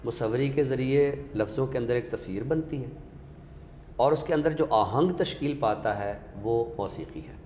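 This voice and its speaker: A man's voice: mid-range voice, darkish tone. Male literary expert, Pakistani accent, scholarly talk-show delivery; hall reverb, faint background noise. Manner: scholarly, deliberate, explains by question and example. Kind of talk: explanatory literary discussion.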